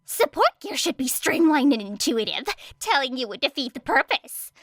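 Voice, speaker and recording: A woman voicing an eager, brash young female inventor in an audiobook, with a brisk, boastful, excitable manner. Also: high-pitched, no echo, studio quality, pitched above the performer's own voice. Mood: confident, smug. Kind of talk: audiobook character acting.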